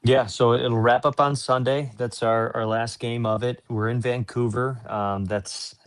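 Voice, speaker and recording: A man's voice: medium pitch, flat delivery, measured pace, clean tone. A man working as a sports announcer, answering interview questions in an earnest, informal, explanatory way.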